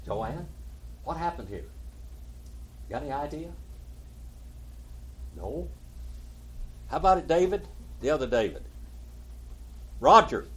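A man with an Oklahoma twang leads a Bible study with a slightly high voice. He talks in a folksy way, quoting scripture and asking his listeners questions by name. It sounds like a live teaching session in a church hall. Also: expressive, slow, pauses often, a bit bright, slightly rough. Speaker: pastor.

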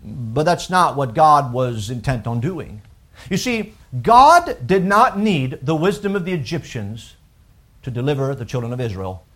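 High-voiced preacher with a French accent. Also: male voice. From France. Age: around 40. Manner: animated preaching, frequent stammered restarts. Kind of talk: sermon.

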